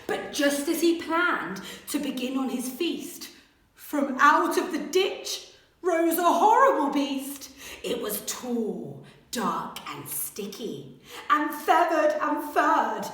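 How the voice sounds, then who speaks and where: Animated woman teacher reading a picture book aloud. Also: high-pitched, takes it slowly, far from the mic. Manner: expressive, animated storytelling. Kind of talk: children's read-aloud. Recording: echoey room.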